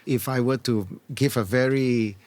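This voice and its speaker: A middle-aged man answering interview questions as an experienced business leader, in measured, earnest, short deliberate phrases. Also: medium pitch, fairly even intonation, a little mellow. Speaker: entrepreneur and former corporate leader, interview guest. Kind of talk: reflective conversational interview answers.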